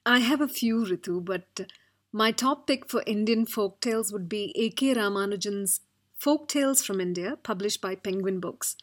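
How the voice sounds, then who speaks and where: A woman producer on a podcast giving a steady, informative book recommendation. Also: mid-range voice, moderate pace.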